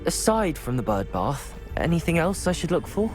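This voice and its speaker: A man's voice: a little high, very animated, normal speed, over music. A young man voicing a polite, curious wizard student in a video game, with an earnest manner. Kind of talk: scripted video game acting.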